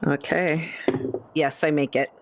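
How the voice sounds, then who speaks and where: A woman's voice: sits low, fairly even intonation, slow, noisy background. A woman playing a tabletop game, speaking casually in brief, matter-of-fact statements.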